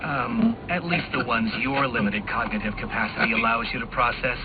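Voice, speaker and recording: Smug villain over a tinny radio. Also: male voice, medium pitch, lively intonation, normal speed, noisy background. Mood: condescending. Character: smug intellectual male villain, condescending schemer and information broker. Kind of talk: video game voice acting. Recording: tinny band-limited comms line, music behind.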